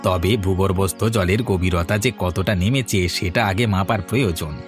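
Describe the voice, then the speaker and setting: A man's voice: low voice, normal speed, music in the background, lightly acted. A young man voicing a confident nephew who supervises a water plant. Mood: reassuring. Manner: reassuring, explaining.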